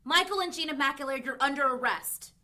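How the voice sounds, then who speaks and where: A policewoman in peril in an audio drama. Her voice is high and piercingly bright, strained with emotion. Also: fairly quick. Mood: flustered, commanding. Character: embattled young policewoman, defiant but frightened.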